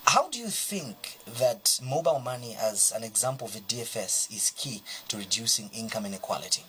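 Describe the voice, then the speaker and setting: A man's voice: lowish voice, lively intonation, brisk, bright, forceful tone, slight background noise, poor audio. A man with a Zambian accent interviewing guests in a conversational, wordy way, often saying "you know". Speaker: interviewer, show host.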